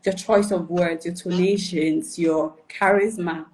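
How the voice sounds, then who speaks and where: A woman's voice: fairly low pitch, steady tone, a little slow, a little mellow, poor audio. A woman coaching on personal branding in a live video chat, explaining patiently and often checking that the listener follows. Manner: explanatory, checks for understanding with "right?" and "okay?"; builds points with repeated questions and enumerations.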